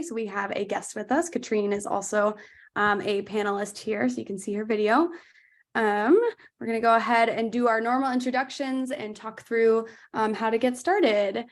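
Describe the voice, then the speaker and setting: Brief: young woman webinar host, fairly high voice, casual, full of fillers. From United States. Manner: chatty, laughs often, many fillers. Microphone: video call.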